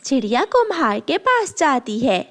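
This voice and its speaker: A woman's voice: high-pitched, big pitch swings, normal speed, a bit bright. A woman narrating a scripted children's story as its storyteller.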